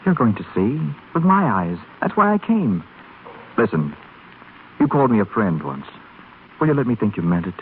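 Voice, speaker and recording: Ardent young gentleman, low voice, widely swooping intonation, tender and earnest, 1930s radio drama, scratchy narrow recording. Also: sing-song, moderate pace, long pauses. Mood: ardent, pleading. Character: ardent, guilt-ridden young gentleman secretly in love.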